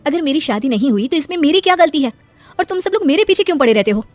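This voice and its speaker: A woman's voice: high voice, animated, fast, soft background music. A woman voicing a defiant but polite unmarried schoolteacher daughter of nearly thirty in a moral-story cartoon. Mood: indignant. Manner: indignant, protesting.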